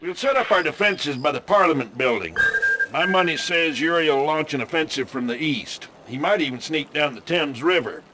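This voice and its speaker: General, higher-pitched, commanding, folksy, emphatic, urgent game briefing, clear background noise. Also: male voice, pushed and bright. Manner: emphatic, colloquial, barking orders. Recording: noisy game audio, military ambience behind.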